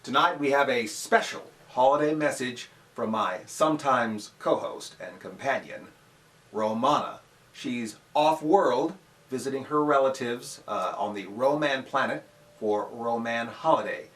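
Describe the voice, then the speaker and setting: A man hosting a late-night movie show, with relaxed, hesitant patter full of filler words. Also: mid-range voice, measured pace. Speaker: horror show host. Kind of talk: casual host patter.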